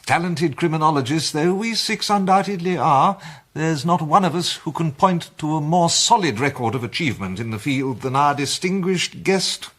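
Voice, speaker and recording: A grand, self-satisfied club president in a period radio drama. His upper-middle-class English voice is husky and swoops expressively as he savours each formal, oratorical phrase. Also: a little high, animated, moderate pace, a bit bright. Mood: grandly proud. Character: pompous, self-satisfied male president of a gentlemanly detective club. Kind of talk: 1920s-set British radio play acting.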